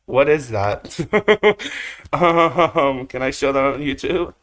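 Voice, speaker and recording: A man's voice: mid-range voice, lively intonation, takes it slowly, fairly bright tone. A man giving casual, rambling reaction commentary as a video host, with slangy phrasing and mild swearing. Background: music or drone from the video being watched.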